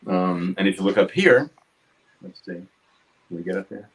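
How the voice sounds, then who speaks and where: A man in his seventies from New York City with a New York accent, talking casually about his craft in his home studio, quick and succinct, hitting consonants hard. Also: low voice, lively intonation, heavy background noise. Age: 70s. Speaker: guest artist. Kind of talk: casual studio chat while drawing.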